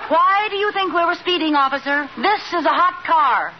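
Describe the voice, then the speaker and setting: An actress playing a dramatic, nervous, wisecracking wife in an old radio comedy, with a theatrical, sarcastic delivery. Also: female voice, very high voice, normal speed. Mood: melodramatic, mischievous. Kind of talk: 1940s-50s radio comedy acting. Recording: dull noisy old radio recording with audience.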